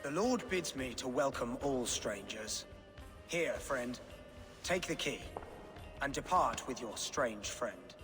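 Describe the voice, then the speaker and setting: Slow, echoing, submissive friar. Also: male voice, medium pitch, animated. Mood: nervous, placating. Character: submissive, pious friar yielding to armed strangers. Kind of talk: dramatic game acting. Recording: long echo, music behind, distant.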